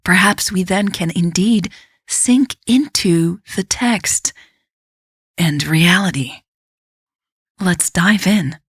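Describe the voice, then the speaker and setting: A woman storyteller reading scripted fiction like a book, in a measured, reflective and deliberate way. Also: on the low side, husky, no echo, clean studio sound. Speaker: podcast storyteller.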